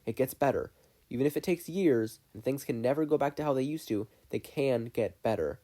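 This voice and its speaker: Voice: medium pitch, fairly quick, dark tone, natural voice. A narrator voicing a protective, encouraging, fiery older great-uncle in a fan fiction reading. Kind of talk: fan fiction audiobook character voice.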